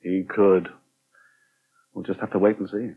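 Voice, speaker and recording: A man's voice: sits low, little pitch movement, a little slow, creaky, slightly rough, narrow, tinny audio, heavily acted. An actor playing a wry, articulate middle-aged English newspaper editor in a 1980 British radio soap, drily teasing as he talks. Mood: dry, knowing. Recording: thin, band-limited old radio recording.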